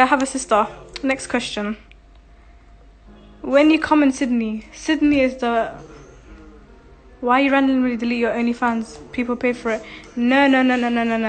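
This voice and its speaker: A young woman in her twenties chatting casually on a phone livestream, reading viewer questions and answering bluntly with lots of swearing. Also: on the high side. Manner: blunt, profane, laughing, reads comments aloud. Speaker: social media personality answering viewer questions. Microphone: phone held close, selfie framing.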